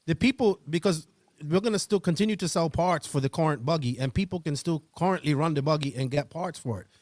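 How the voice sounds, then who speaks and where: A man hosting a casual podcast, taking live calls and chatting in an animated, exclamatory way. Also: a little high, quick pace, dry recording. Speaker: podcast host.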